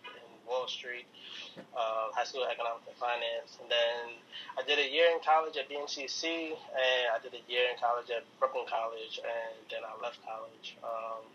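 A man from Harlem in New York City, a guest answering in a relaxed way, thinking aloud with many ums. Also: mid-range voice, normal speed, distant mic, muffled recording, slight background noise. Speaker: guest who works at a college. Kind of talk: casual interview answers.

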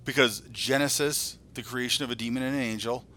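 A man's voice: medium pitch, fairly even intonation, a little fast, bright, forceful tone. A man in his forties co-hosting a podcast, ranting casually in a blunt, profane, irreverent way. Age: 40s. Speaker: podcast co-host.

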